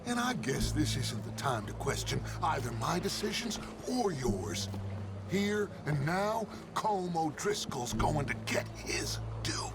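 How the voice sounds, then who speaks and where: An actor playing a grandiose, vengeful American leader of Wild West outlaws in a video game, speaking in florid, commanding lines. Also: male voice, fairly high pitch, very animated, a little slow, gravelly, exaggerated voice. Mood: defensive, stern. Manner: theatrical, commanding, florid speech. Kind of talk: dramatic video game dialogue. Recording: music behind the voice.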